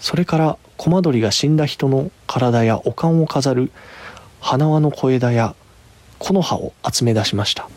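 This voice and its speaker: A man narrating a scripted story as a storyteller, with a husky voice. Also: medium pitch, moderate pace, a little mellow, no room sound.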